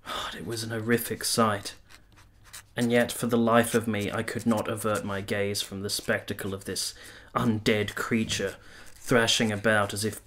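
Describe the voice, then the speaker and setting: Troubled soldier-narrator, male: low, flat-toned, grave, formal storytelling for period audio drama. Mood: horrified. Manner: grave, measured, literary. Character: haunted, horrified male soldier narrating his memories. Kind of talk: scripted first-person radio drama narration.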